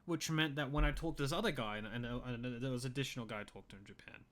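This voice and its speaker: A man's voice: mid-range voice, quick pace, a bit bright. A man hosting an interview, rambling a little and full of "like" and other fillers. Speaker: interviewer, host. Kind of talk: casual interview conversation.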